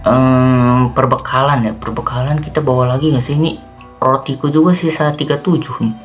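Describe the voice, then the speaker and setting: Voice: mid-range voice, steady tone, normal speed, lightly acted. A man playing a weary, jumpy zombie apocalypse survivor in a Minecraft roleplay, muttering and exclaiming to himself in casual slang. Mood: muttering, planning. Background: background music.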